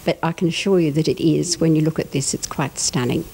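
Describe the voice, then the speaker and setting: A woman's voice: low voice, fairly even intonation, brisk, gravelly, mellow, slight background noise, poor audio. A woman giving informative interview answers as a representative of a craft group.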